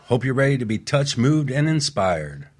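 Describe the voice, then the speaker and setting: A man's voice: mid-range voice, big pitch swings, moderate pace, very noisy. Enthusiastic male radio host. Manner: enthusiastic, announcer-like. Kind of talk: upbeat radio show hosting. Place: radio studio.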